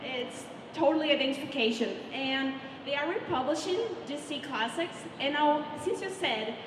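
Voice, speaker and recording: A woman's voice: high voice, moderate pace. A woman interviewer asking a comic artist enthusiastic questions in English. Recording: echoey hall with crowd noise.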